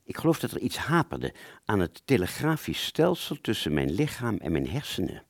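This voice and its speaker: A man's voice: fairly low pitch, very animated, normal speed, vocal fry, no room sound, studio quality. A man narrating a first-person story for an audio play, hesitant and conversational, drawing out words and suddenly stressing others.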